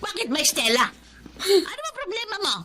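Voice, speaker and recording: An actress in a Filipino radio drama playing a greedy, defensive eatery owner who blusters when accused. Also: female voice, very high-pitched, sing-song, fairly quick, background noise. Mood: indignant, defensive. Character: brazen greedy eatery owner, defensive, later remorseful woman.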